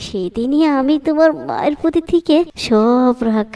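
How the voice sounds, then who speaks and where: A woman's voice: high-pitched, animated, normal speed, dark tone, distant mic. A woman voicing a humble, pious middle-aged village mother-in-law in a cartoon, with a plaintive, pleading manner. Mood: gentle, resigned. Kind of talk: cartoon voice acting.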